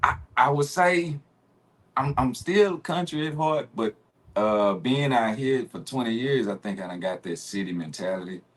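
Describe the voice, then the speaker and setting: A man in his forties from Arkansas with a Southern accent, a guest telling stories in a relaxed, easygoing way that breaks into laughter. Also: medium pitch, normal speed. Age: 40s. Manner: relaxed storytelling, laughs easily. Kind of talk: casual interview conversation.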